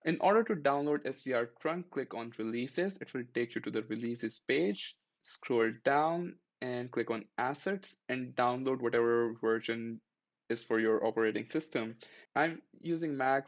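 Guy narrating a screen tutorial, mid pitch, kinda dull lo-fi sound, just walks you through the clicks. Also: moderate pace. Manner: plain step-by-step instructions, friendly and matter-of-fact. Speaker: tech tutorial host. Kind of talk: screen-recorded software tutorial.

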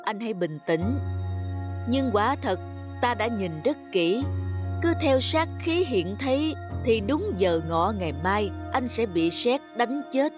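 An actor voicing a solemn old male Taoist sage in an animated folk tale, speaking gravely and with measured words. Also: medium pitch, music in the background.

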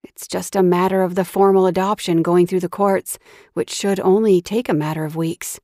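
A woman voicing a gentle, tired mother figure who talks softly and sighs. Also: fairly low pitch, fairly even intonation, moderate pace, dry recording, studio quality, lightly acted. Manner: soft, sighing.

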